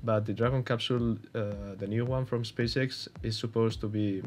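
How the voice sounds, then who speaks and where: Hesitant Spanish-accented man, low voice. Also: fairly even intonation, normal speed, a little hiss or hum. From Spain. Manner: hesitant, many fillers and restarts, unsure hedging. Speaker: gaming YouTuber. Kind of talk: casual gameplay commentary.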